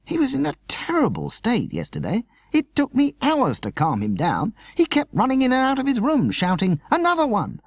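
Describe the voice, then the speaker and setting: A man's voice: very high voice, huge pitch swings, normal speed, hardly any pauses, dry recording, clean studio sound, voice pushed up, heavily acted. A man voicing an earnest, dramatic college-age older brother in a children's audiobook. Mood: breathless, dramatic.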